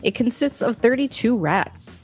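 A woman's voice: fairly high pitch, expressive, moderate pace, faint music behind. A woman co-hosting a podcast, reading an encyclopedia page aloud with casual commentary. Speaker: podcast co-host.

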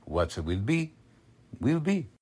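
A man's voice: medium pitch, big pitch swings, takes it slowly, darkish tone, husky, full character voice. An actor playing a humble, grieving old Italian father with an accent and broken English, in a radio drama. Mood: sorrowful, resigned. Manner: broken English, resigned, philosophical. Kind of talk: 1940s-style radio drama acting.

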